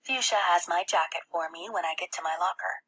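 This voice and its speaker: Breathy woman reading a children's novel aloud. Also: lowish voice, a little fast, pushed and bright, low-quality recording. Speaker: audiobook reader. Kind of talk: expressive first-person read-aloud of a children's novel.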